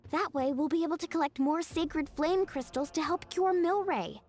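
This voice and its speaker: A woman voicing an upbeat, eager young female fighter in a video game, with an energetic, emphatic and friendly delivery. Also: high-pitched, moderate pace, natural voice. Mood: excited.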